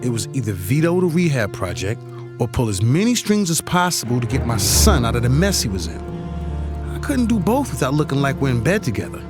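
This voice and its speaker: A man's voice: mid-range voice, sing-song, brisk, close to an everyday voice. A man playing an authoritative, defensive city mayor in an audio drama, commanding and wry. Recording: music playing behind the voice.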